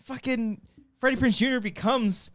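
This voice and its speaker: A man co-hosting a podcast in loose, joking chat, with halting, half-finished thoughts. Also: very high-pitched, fairly even intonation, fairly bright tone, no room sound. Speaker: podcast co-host. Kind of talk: casual joking group chat.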